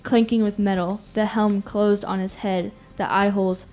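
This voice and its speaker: A woman's voice: medium pitch, flat delivery, normal speed, a little mellow, lightly acted. Teenage girl as female radio announcer, urgent, declamatory, short phrases, verse audio play, music underneath. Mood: alarmed. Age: teens. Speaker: student actor. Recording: music behind the voice.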